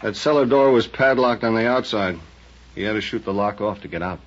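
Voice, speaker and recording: An actor playing a loyal, plain-spoken police sidekick in an old radio drama. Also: male voice, fairly low pitch, a little fast, close to an everyday voice. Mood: matter-of-fact. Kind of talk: 1940s radio acting. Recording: dull lo-fi old radio recording.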